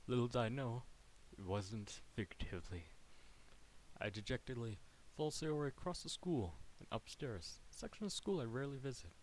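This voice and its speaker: A man's voice: mid-range voice, lively intonation, moderate pace, a little hiss or hum, low-quality recording. A male streamer with a slight Irish-sounding lilt, reading a game aloud live, giggly and rambling. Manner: giggly, rambling, self-interrupting. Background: game music.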